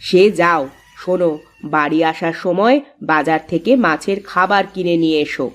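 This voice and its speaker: A woman voicing a bossy, excitable village wife in a moral-story cartoon, exclaiming and giving directions. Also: fairly low pitch, normal speed, lightly acted. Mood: instructing.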